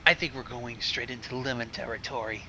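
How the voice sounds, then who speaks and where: A man's voice: mid-range voice, fairly even intonation, a little fast, pushed and bright, slightly rough. A man giving sarcastic, crude running commentary during a story reading. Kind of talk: casual joking commentary.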